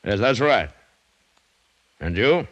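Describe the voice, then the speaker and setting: An actor playing a stubborn, self-assured plantation owner with emphatic delivery, in an old-time radio adventure drama. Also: male voice, fairly low pitch, big pitch swings, takes it slowly, fairly bright tone, no echo, exaggerated voice. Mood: calm, assured. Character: supremely confident, smug, stubborn male plantation owner. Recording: vintage radio recording with music.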